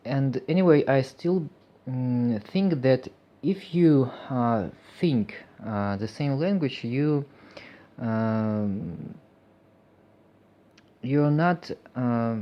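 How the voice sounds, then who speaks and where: A man with a Russian accent, a native Russian speaker, talking in an unscripted personal vlog, halting and full of fillers, reflective and earnest. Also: medium pitch, expressive, lo-fi sound. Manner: hesitant, halting, many fillers. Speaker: vlogger.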